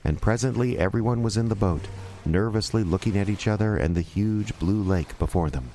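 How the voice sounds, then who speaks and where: Mellow, low-voiced man narrating. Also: brisk, faint music behind, poor audio. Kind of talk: scripted audio drama narration.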